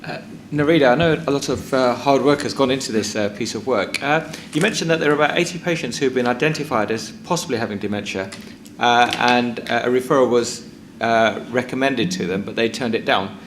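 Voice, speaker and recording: A board member at a formal meeting asking a question, hesitant with many fillers. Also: male voice, mid-range voice, fairly quick, a bit bright, slight background noise.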